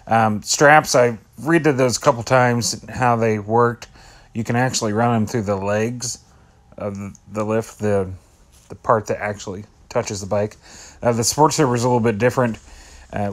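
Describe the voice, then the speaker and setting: A middle-aged man giving casual, plain-spoken voice-over commentary as a vlogger, sounding laid-back. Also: lowish voice.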